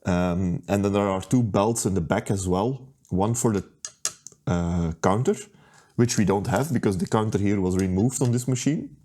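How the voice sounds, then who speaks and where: A man talking through a hands-on repair at his workbench, unhurried and thinking aloud with plenty of ums and uhs. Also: on the low side, mellow. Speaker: repair video host. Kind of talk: casual how-to narration.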